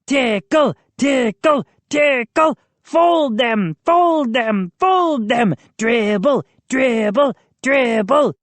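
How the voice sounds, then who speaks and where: A woman voicing gleeful, mischievous gnomes in a shrill, taunting, chanting way for a storybook. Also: lively intonation, slow, few pauses, dry recording, full character voice.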